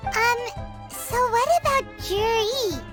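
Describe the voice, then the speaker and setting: A woman voicing a small, excitable, childlike fairy companion in a video game, squeaky, earnest and curious. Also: slow, music in the background, exaggerated voice.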